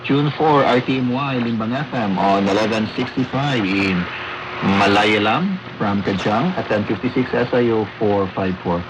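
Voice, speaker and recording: A man's voice: lowish voice, normal speed. A man from the Philippines with a Filipino accent, reading a scripted report as a radio correspondent. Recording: noisy shortwave radio broadcast.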